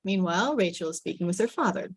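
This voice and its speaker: A woman's voice: fairly low pitch, lively intonation, fast, slightly rough. A woman reading scripted stage directions as narration at a table read.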